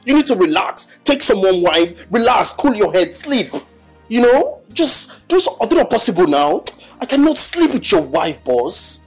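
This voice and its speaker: A woman's voice: medium pitch, big pitch swings, measured pace, breathy edge, faint music behind. A woman voicing a flustered young employee who protests a shocking request from his boss. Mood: protesting. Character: pleading young man, the boss's employee.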